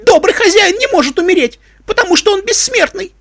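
A man's voice: extremely high pitch, expressive, fairly quick, vocal fry, fairly bright tone, voice pushed up, exaggerated voice. A man voicing a young female pony, a fanatical slave who shrieks shrilly and hysterically in a storybook tale.

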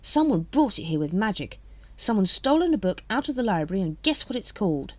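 A woman's voice: mid-range voice, big pitch swings, brisk, no echo, voice pushed up. A woman voicing an eager, earnest young male city watchman in a storybook, with emphatic delivery. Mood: triumphant.

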